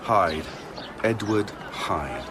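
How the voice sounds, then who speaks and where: An actor playing an earnest, indignant, uneasy Victorian gentleman with an English accent in a radio drama, emphatic and sometimes hesitant. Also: low-pitched, expressive, drawn-out words, background noise, heavily acted. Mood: troubled.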